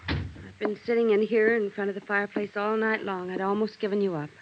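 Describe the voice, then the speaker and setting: An actress playing a weary, melancholy widow in a vintage radio drama, speaking in a hushed, wistful way. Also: female voice, medium pitch, fairly even intonation, fairly quick, close to an everyday voice. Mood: anxious hospitality. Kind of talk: 1950s radio drama acting. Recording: dull, noisy old radio recording.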